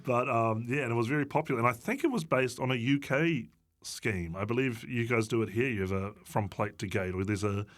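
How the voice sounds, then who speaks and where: A man's voice: mid-range voice, a little fast. A man with a New Zealand accent chatting casually as a comedian guest, telling anecdotes in a hesitant, wry way. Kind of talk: casual chat, comic anecdotes.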